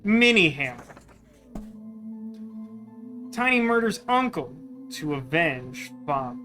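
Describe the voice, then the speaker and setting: A man's voice: a little high, big pitch swings, takes it slowly, over music. A man doing casual let's play commentary, musing and thinking aloud as he works through puzzles. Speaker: gaming video host.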